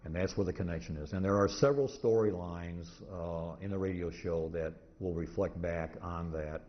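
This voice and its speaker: Low-voiced man lecturing, muffled noisy recording. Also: normal speed. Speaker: convention presenter, radio historian. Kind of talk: informal lecture to an audience. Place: indoor convention talk room. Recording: muffled, noisy live convention room recording.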